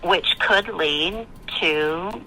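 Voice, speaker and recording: A woman with a low, forceful voice speaks over a thin telephone line as a manager. She is formal and polite yet firm, with halting, repetitive phrasing. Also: a little hiss or hum. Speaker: campground management staff. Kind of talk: formal customer phone conversation.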